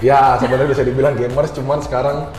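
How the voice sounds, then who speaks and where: A man's voice: mid-range voice, level tone, fast. A man chatting informally as an interview guest, mixing in English terms. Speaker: interview guest, university lecturer. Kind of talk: casual interview chat. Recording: echoey room with long reverb, music behind.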